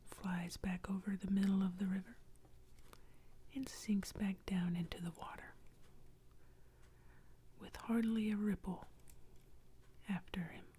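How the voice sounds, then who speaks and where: A woman telling a calm story entirely in a soft, unvoiced ASMR whisper. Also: mid-range voice, a little slow, long pauses, breathy edge.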